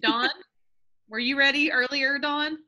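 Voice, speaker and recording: Give me a woman leading a team video call with a bright, forceful voice. She is energetic and emphatic, laughs easily, and hammers her points home through repetition. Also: high voice, slow. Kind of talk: motivational group call.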